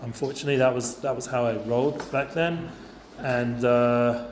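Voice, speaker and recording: A man, speaking to a group of students in a loose, chatty way full of asides. His voice sits at a middle pitch. It is recorded in a roomy, echoey space over a faint hiss. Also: fairly even intonation, normal speed. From North London, England. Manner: chatty, informal, lots of fillers and asides. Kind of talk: casual talk to a youth group.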